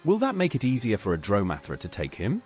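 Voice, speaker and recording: A lowish male voice with extremely mobile intonation, a man playing an inquisitive adventurer in a fantasy game. He sounds ready to help, with music faintly behind him. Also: wildly swooping pitch, fast talker, a little mellow. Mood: curious, earnest. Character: helpful questioning male adventurer. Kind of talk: scripted fantasy game dialogue. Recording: background music under the voice.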